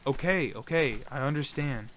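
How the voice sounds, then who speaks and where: A man's voice: mid-range voice, normal speed, a bit bright, a little hiss or hum, natural voice. A man playing a sensitive, anxious young contestant and loyal friend in an audio drama, earnest and easily flustered. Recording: music behind the voice.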